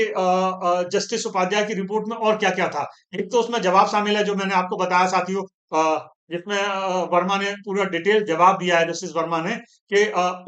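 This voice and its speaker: A man doing online news commentary, talking straight to his viewers and often pausing on hesitation fillers while he explains a story. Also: high voice, a bit bright. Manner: addresses viewers as "comrades", frequent hesitation fillers; reads out long formal statements.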